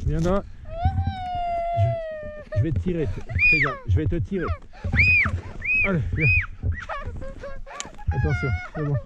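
A man's voice: very high voice, wildly swooping pitch, takes it slowly, pauses often. A man skiing and filming as he coaches his children, calling out short, repeated, encouraging commands. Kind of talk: shouted ski coaching while filming. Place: outdoor snowy mountain ski slope and forest. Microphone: action camera worn while skiing. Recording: dull lo-fi action-camera sound with faint wind.

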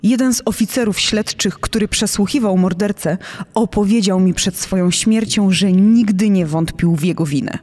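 Indignant, insistent woman played by an actress, medium pitch, heavy reverb tail, documentary dramatisation. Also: normal speed, a little mellow, close to an everyday voice. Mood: indignant, certain. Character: indignant woman, murder victim's niece, convinced of guilt. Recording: long hall-like reverb.

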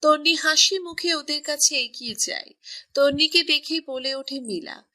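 Bright, high-voiced woman storyteller. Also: normal speed. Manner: even, storytelling. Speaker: story narrator. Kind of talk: scripted romantic story narration.

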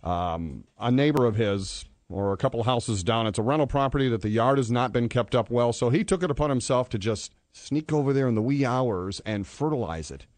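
A man's voice: medium pitch, lively intonation, a little fast, hardly any pauses, darkish tone, no room sound. An American man, a radio host making a prank phone call in a conversational, matter-of-fact but insistent way. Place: radio studio, dry close sound.

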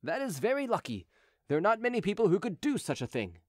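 Guy doing an anxious pleading soldier on guard, high swoopy voice, very deferential, storybook reading. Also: sing-song, quick pace, no room sound, clean studio sound. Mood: startled, deferential. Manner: persuasive, deferential. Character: deferential, anxious, pleading male palace sentry. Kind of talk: audiobook character dialogue.

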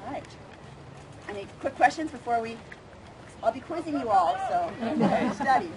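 A middle-aged woman gives an informal demonstration talk in an open grassy park. Her voice sits a little high. Outdoor ambient noise is clearly heard around her. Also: animated, normal speed, darkish tone, a faint crowd, rough recording. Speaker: plant educator leading a walking tour.

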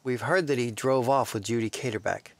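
An actor playing a calm, earnest researcher who explains things helpfully in an audio drama. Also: male voice, medium pitch, a little fast, close to an everyday voice. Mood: earnest, concerned.

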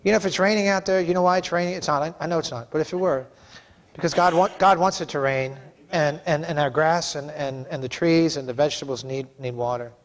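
High-pitched, emphatic male preacher. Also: fast. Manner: emphatic, folksy, conversational with raised-voice bursts. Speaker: pastor. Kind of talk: sermon. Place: church sanctuary, preaching from a pulpit.

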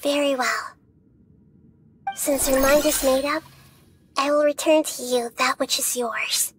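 Childlike wise game girl, high-pitched, composed and formal, light music bed. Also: steady tone, a little slow. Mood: solemn. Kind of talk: video game voice acting. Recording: background music under the voice.

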